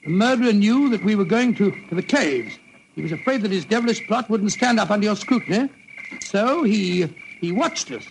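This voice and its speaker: An actor playing a loyal, blustery British gentleman, a detective's earnest sidekick, in an old-time radio drama. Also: sits high, animated, moderate pace, creaky, rough recording. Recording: vintage radio recording, faint noise.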